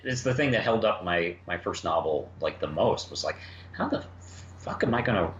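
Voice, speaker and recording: A man chatting casually on a review discussion in a halting, rambling way, often repeating words. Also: on the low side, a bit bright. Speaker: podcast host and writer. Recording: muffled, roomy, noisy home recording.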